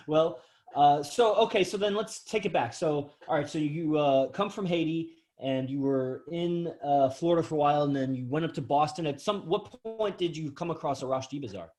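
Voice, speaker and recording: A man's voice: on the high side, moderate pace, a little mellow. A man hosting a podcast interview, talking in an effusive, admiring and rambling way. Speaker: podcast host. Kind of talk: casual interview talk.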